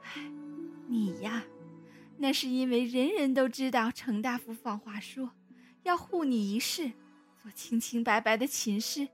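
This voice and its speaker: Actress as caring elder sister, costume audio drama, solicitous, worried, gentle delivery, background music. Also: female voice, on the high side, a little slow, breathy edge. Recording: music laid over the voice.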